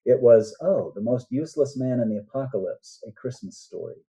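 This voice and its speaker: Older guy author chatting as a guest, really mellow dark voice, kinda dull lo-fi sound. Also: medium pitch, lively intonation, brisk. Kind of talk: casual interview chat.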